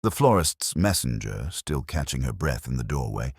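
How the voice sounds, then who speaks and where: A man narrating a mystery story as a scripted reading, in a measured, dryly literary manner. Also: a bass voice, very animated, creaky, husky, no room sound, studio quality.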